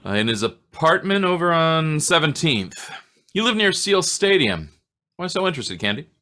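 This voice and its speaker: A man playing a friendly, somewhat awkward homicide inspector in old-time radio style, with a hesitant, halting manner. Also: fairly high pitch, very animated, sharp tone. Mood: friendly, curious. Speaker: actor in an old-time radio detective play. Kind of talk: 1940s radio acting.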